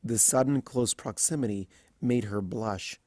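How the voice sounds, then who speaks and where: A man's voice: on the low side, a little slow, darkish tone, no room sound. Man reading a story in a dry room. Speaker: fan fiction reader. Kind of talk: scripted story narration.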